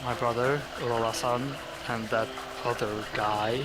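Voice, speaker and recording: A man's voice: mid-range voice, fairly even intonation, measured pace, heavy background noise, lightly acted. A man voicing a weary, stoic teenage boy who narrates his thoughts in a game. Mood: pensive. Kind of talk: scripted visual novel narration. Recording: music playing behind the voice.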